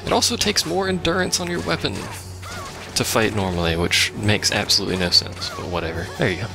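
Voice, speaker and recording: Wry, low-voiced man with creaky delivery. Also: brisk. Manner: sarcastic, wry. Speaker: let's play host. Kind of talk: casual gameplay commentary. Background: game music.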